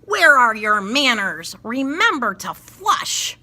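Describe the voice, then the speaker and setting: An eager little gingerbread man from a storybook played by a woman, plucky and earnest. The voice is bright and highly animated, declaring each rhyme with drama. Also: slow, heavily acted. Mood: scolding.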